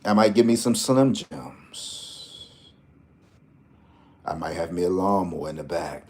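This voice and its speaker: A man's voice: low-pitched, lively intonation, unhurried, a little mellow, slight background noise. A man hosting a lottery tips vlog, talking in a casual, joking, rambling way full of slang and asides.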